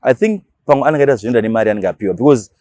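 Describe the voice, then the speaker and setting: A Zimbabwean man speaks very quickly in a medium-pitched voice, recorded dry and close. He chats casually like a DJ in an interview, switching languages as he goes and repeating words to make a point. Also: animated, dark tone, no room sound. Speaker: radio DJ, interview guest.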